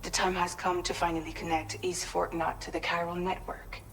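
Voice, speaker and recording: A woman playing a caring, composed crew leader, speaking gently and in an orderly way in a video game. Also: on the low side, level tone, moderate pace, gravelly, a little hiss or hum. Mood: caring, businesslike. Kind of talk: video game cutscene dialogue.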